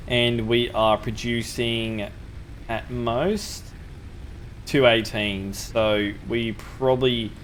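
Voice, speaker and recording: Young male gaming host with a sore throat, low-pitched, relaxed think-aloud commentary, faint background hum. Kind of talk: gameplay commentary.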